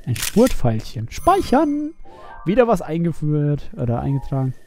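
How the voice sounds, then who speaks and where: A man's voice: a little high, huge pitch swings, a little slow, mellow, soft background music. A man giving casual, playful live commentary as he streams a video game and chats with viewers.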